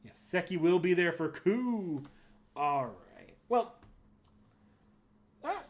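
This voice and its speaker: A man's voice: high-pitched, expressive, slow. Rambling male livestreamer thinking aloud. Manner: rambling, thinking aloud with frequent self-corrections. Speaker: streamer and host. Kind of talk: casual livestream chat, answering viewers.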